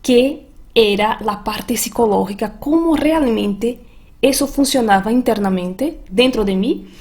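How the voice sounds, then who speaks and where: A language coach from Brazil speaks with a Brazilian Portuguese accent, her voice sitting slightly high. She tells her own learning story in a reflective, earnest way, as in a recorded master class. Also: a little slow, darkish tone. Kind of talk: online master class talk.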